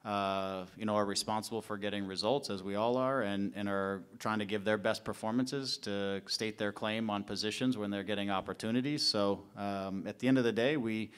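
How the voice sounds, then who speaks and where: A man in his forties, a head coach, answering questions at a press conference table mic, in a measured way with many fillers and restarts. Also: on the low side, fairly even intonation, fairly bright tone, clean tone. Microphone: table mic in front of him.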